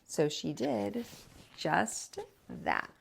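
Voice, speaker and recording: A woman reading a children's picture book aloud as a storytime host, with expressive, drawn-out emphasis. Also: lowish voice, creaky, a little hiss or hum.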